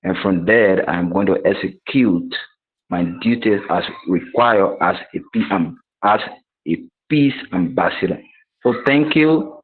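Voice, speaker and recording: A Liberian man with a lowish voice speaks very slowly and formally. He breaks his remarks into short phrases, and the call audio is dull and muffled. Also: husky. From northern Liberia. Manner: deliberate, broken into short phrases. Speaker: training participant. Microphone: video call microphone.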